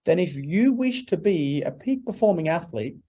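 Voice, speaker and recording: A man's voice: on the high side, very animated, moderate pace, mellow, slightly rough. A man in his forties from Norway, a guest in an interview, talking earnestly and preacherly in long emphatic lists. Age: 40s. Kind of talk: interview, motivational talk.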